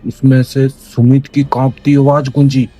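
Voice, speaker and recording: Mellow-voiced man narrating horror. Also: medium pitch, little pitch movement, unhurried, husky. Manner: ominous, suspenseful. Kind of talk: dramatic scripted narration. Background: music.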